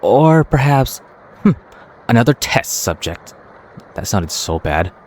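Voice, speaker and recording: A man playing a calm, wry young adventurer in game dialogue, casual and understated. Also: mid-range voice, takes it slowly, slight background noise. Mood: relaxed, musing.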